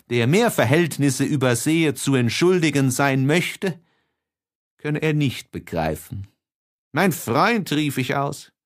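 A man narrating a classic novel as an audiobook, reading expressively with deliberate, dramatic phrasing. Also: mid-range voice.

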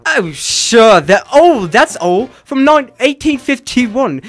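An actor playing a cagey, defensive small-time forger with slangy, evasive talk, in old radio drama style. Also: male voice, very high-pitched, sing-song, slow, a bit bright, heavily acted. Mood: flustered, confessing. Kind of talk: 1950s radio drama acting.

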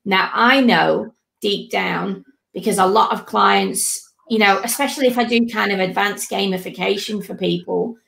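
A woman's voice: mid-range voice, gravelly. A woman hosting a chat on a video call with a headset, talking in a chatty, conversational way. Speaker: host. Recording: echoey room audio.

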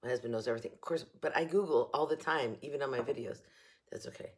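A slightly hoarse woman in her fifties chatting casually to camera from her home kitchen, rambling and joking about herself as she hosts a shopping haul video. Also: extremely low pitch, fast talker. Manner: chatty, rambling, self-mocking. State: a little hoarse. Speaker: haul vlogger. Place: home kitchen, indoors, early morning.

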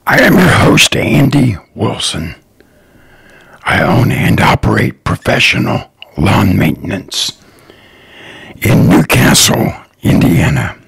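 A man hosting his own podcast, talking slowly and earnestly in a raspy, partly whispered voice. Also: fairly low pitch, big pitch swings, fairly bright tone, no room sound. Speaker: podcast host, lawn care business owner. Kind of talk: slow, earnest solo podcast talk.

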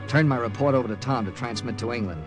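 An actor playing a resolute, hard-boiled wartime captain in an old-time radio drama, speaking and narrating in a firm, clipped, dramatic way. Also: male voice, mid-range voice, fast talker, music in the background, natural voice. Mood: grave, dramatic.

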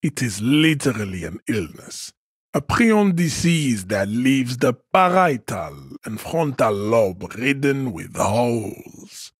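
An actor playing a haughty, hulking supremacist strongman in a video game, speaking in a solemn, pompous, declamatory manner with a husky voice. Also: medium pitch, no echo, studio quality, full character voice. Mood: cold, haughty. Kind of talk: scripted game character monologue.

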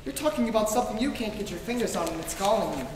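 A man's voice: high voice, lively intonation, very fast. An earnest young idealist, acted by a man in a live radio play, speaking with passion. The sound is distant, reverberant and slightly noisy. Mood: impassioned. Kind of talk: staged old-time radio play acting. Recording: echoey hall from far off, noisy.

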